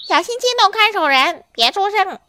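A woman's voice: extremely high pitch, animated, normal speed, bright, forceful tone, a little hiss or hum, voice pushed up, full character voice. A woman voicing a silly, mimicking parrot in a children's story, in an exaggerated squawky manner. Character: silly, kind-hearted parrot who mimics everyone's words.

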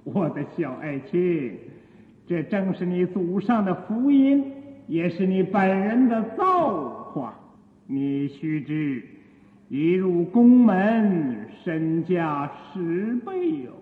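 An actor playing a lecherous, imperious emperor in a theatrical radio drama, with pompous, drawn-out courtly speech and a coaxing, self-satisfied air. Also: male voice, sits high, big pitch swings, exaggerated voice. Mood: smug, condescending. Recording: muffled phone-like audio, long echoing reverb.

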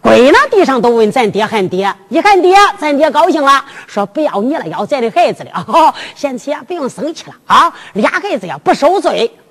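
Woman as a cheeky Henan young fisherman, lively pitch. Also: a little fast, hardly any pauses. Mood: coaxing. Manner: folksy, excitable. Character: cheeky, blustering young fisherman son and husband. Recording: long reverberant hall echo.

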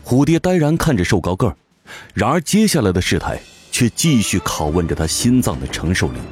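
A man's voice: fairly low pitch, very animated, a little fast. A man giving dramatic scripted narration of a fantasy audio novel. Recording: music behind the voice. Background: music.

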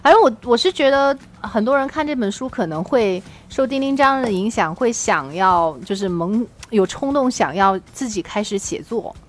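Animated, high-voiced woman co-hosting. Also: moderate pace, few pauses. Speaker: podcast co-host. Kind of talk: casual chat.

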